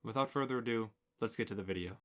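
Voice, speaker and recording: A man's voice: on the low side, quick pace. A man giving a scripted introduction as the host of a channel.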